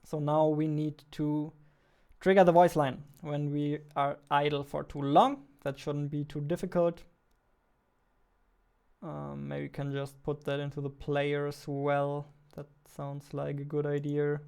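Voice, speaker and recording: Young guy streaming from home, highish clean voice, joking around while he works. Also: level tone, a little slow, creaky, a little mellow, smooth. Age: 20s. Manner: playful, joking. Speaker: game developer streaming. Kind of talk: casual livestream chat while working. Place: indoors, small home room. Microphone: studio microphone on boom arm, headphones.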